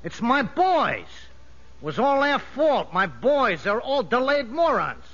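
An actor playing a tough, boastful, hot-tempered American gangster convict in 1950s radio drama, slangy and ungrammatical, on a muffled old broadcast. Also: male voice, very high voice, very animated, slow pace, a bit bright, heavily acted.

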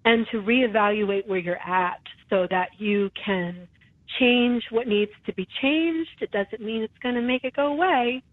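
A woman's voice: fairly high pitch, measured pace, dry recording. Earnest woman on a tinny phone line. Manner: earnest, reflective, with frequent restarts. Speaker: show host. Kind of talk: conversational interview talk. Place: her home.

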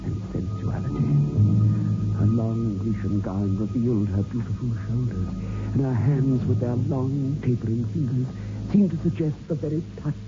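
A man's voice: medium pitch, normal speed, close to an everyday voice. A man playing a nervous bachelor schoolmaster who narrates floridly in a 1960s radio horror drama. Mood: enraptured. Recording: muffled old radio recording, loud music.

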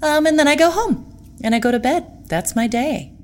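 A woman's voice: normal speed, a little hiss or hum. A woman playing a polite, slightly proper opera singer in an improvised comedy, hesitant with many ums. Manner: hesitant, lots of ums, lively pitch.

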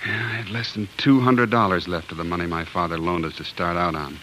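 An actor playing a determined young homesteader husband, earnest and plain-spoken in 1940s radio drama style. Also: male voice, deep voice, steady tone, brisk, pushed and bright, a little hiss or hum, low-quality recording. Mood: worried.

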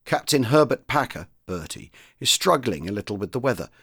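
Husky, lively man presenting history. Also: medium pitch, a little fast, no echo, studio quality. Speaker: podcast presenter. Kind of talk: scripted narration introducing diary readings.

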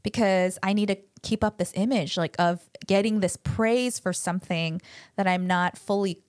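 A woman's voice: mid-range voice, normal speed, a little mellow, no echo. Chatty woman with a dry voice. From United States. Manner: chatty, many "like" fillers, voices quotes animatedly. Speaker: podcast co-host and parent. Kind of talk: conversational parenting advice.

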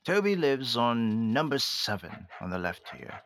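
A man playing a gruff, suspicious but kindly old animal keeper in an audio drama, with colloquial working-class speech. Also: medium pitch, very animated, measured pace, a bit bright. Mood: welcoming, apologetic. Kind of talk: audio drama acting of Victorian story. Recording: clearly noisy recording.

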